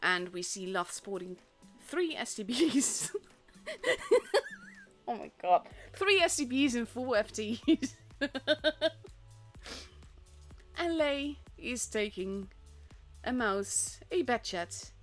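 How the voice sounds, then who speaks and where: Dutch girl casting games on stream, chatty, lots of likes and restarts, soft music in the back. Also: a little high, sing-song, slow, long pauses. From Netherlands. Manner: chatty, rambling, frequent fillers and restarts. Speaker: esports caster and streamer. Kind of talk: casual live-stream commentary. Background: faint stream music.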